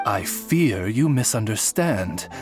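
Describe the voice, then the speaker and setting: A loyal raven familiar in a video game. The voice is dignified and unhurried, with formal, deferential phrasing and music behind it. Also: male voice, fairly low pitch, very animated. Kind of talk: English video game voice acting.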